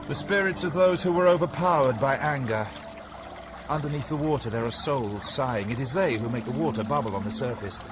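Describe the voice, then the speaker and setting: An actor playing a wise, fatherly spirit guide in an audio drama, measured and authoritative. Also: mid-range voice, over music, close to an everyday voice. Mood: solemn.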